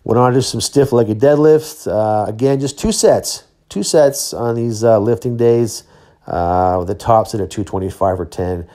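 Low, mellow middle-aged man. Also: a little slow. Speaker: athlete vlogger, Highland games thrower. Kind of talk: casual training vlog voice-over.

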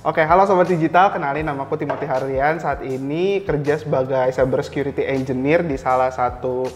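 A man's voice: medium pitch, fairly quick, a little hiss or hum. A young Indonesian man giving casual explanations as an interview guest, informally mixing in English tech terms. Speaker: interview guest, cyber security engineer. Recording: reverberant hall sound, faint music.

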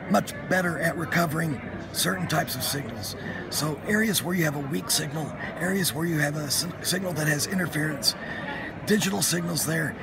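A middle-aged man with a high, creaky voice gives a casual technical demonstration. He is at a trade show booth with very loud noise around him. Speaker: head of product development demonstrating a product. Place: busy trade show floor at an exhibit booth. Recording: very noisy convention hall, announcements behind.

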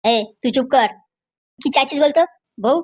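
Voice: high-pitched, normal speed. A performer voicing a hot-tempered, foul-mouthed father and husband who blusters and scolds in a comedy cartoon. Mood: annoyed.

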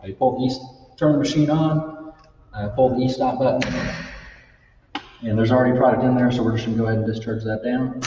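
A young man giving a casual live product demo, halting with many ums and uhs. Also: mid-range voice, steady tone, noisy background. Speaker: product demonstrator. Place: large trade-show style hall, indoors. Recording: distant echoey hall, dull sound.

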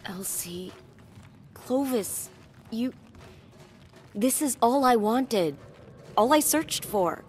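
An actress playing a defensive, hurt younger sister in a video game, speaking in a pointed, incredulous way. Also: female voice, fairly high pitch, animated, extremely slow, long pauses, slight background noise. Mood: hurt, ashamed. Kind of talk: dramatic video game acting. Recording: game music underneath. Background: music.

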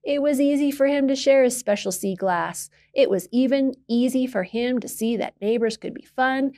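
A woman reading a children's picture book aloud as a library storyteller, expressively, with emphasis and dramatic pauses. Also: sits high, dark tone.

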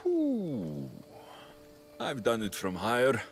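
A confident Greek mercenary hero, speaks with wry, low-key assurance. His intonation moves freely up and down. The dialogue comes from a video game cutscene, with music under it. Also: wildly swooping pitch, slow pace. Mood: wry, amused. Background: background music.

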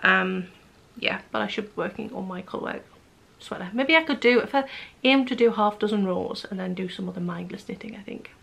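A woman in her forties, a bit croaky, chatting casually to camera in her living room about her day and her knitting, with lots of ums. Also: mid-range voice, brisk, hardly any pauses, fairly bright tone. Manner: chatty, rambling with many ums. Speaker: knitting vlogger. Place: living room at home, indoors. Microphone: camera close in front.

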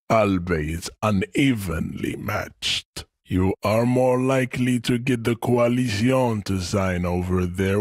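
An actor playing an arrogant hyper-masculine strongman philosopher in a video game, lecturing with pompous, deliberate condescension. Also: lowish voice, breathy edge, no echo, clean studio sound, heavily acted. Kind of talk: video game character acting.

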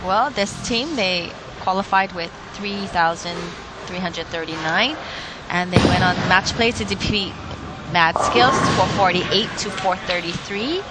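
Unhurried woman commentator in loud noise. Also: fairly low pitch. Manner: giggly, informal. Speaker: bowling co-commentator. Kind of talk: casual live sports commentary. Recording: loud bowling alley background noise.

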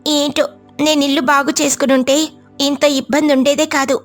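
A woman voicing a good-natured, apologetic mother sparrow in a cartoon story, gentle and conversational. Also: high-pitched, flat delivery, brisk, soft background music, voice pushed up, close to an everyday voice.

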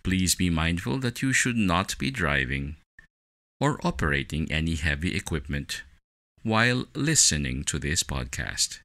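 A man with a deep voice narrates as a meditation podcast host. His delivery is scripted and deliberate, with a bright, forceful edge and lively rises and falls in pitch.

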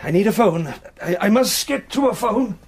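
An actor playing a flustered male professor with a husky voice, agitated over a missing rare book. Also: high voice, expressive, moderate pace. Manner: agitated, fussy.

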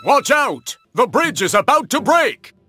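A proud cartoon big cat, bold and emphatic. His voice is high for a male, bright and full of swings, with faint music underneath. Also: sing-song, unhurried, heavily acted. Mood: alarmed. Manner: boastful, emphatic delivery. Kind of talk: children's cartoon voice acting.

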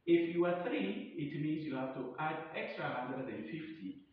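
A man's voice: animated, normal speed, natural voice. A man in his thirties playing a chatty, eager receptionist recommending a place in a promotional skit, recorded in a tiled room. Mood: eager, persuasive. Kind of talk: acted promotional dialogue. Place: indoors, tiled front room. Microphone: distant camera or phone across the room. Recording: echoey room, distant dull mic, rumbling noise.

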